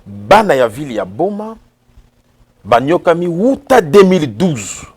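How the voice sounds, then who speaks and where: A man from Kongo Central with a Congolese accent, answering interview questions in a formal, solemn manner with filler pauses. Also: a little high, sing-song, darkish tone, breathy edge. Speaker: interview guest, association president.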